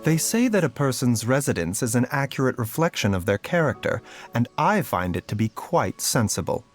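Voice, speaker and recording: A gentle, scholarly doctor from a video game, acted by a man, speaks with polite, measured formality. His mid-pitched voice moves expressively over music. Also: big pitch swings, lightly acted. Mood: calm, welcoming. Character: gentle, courteous adult male doctor, calm and scholarly. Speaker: game character voice actor. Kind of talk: scripted game voice lines. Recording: music behind the voice.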